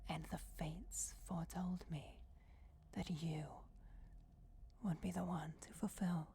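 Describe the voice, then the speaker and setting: A woman's voice: lowish voice, fairly even intonation, slow pace, vocal fry, mellow, slight background noise. A woman voicing a regal, commanding vampire goddess in a solemn whispered fantasy ASMR roleplay. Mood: solemn, intimate. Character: ancient vampire goddess, commanding, softly menacing, bestowing destiny.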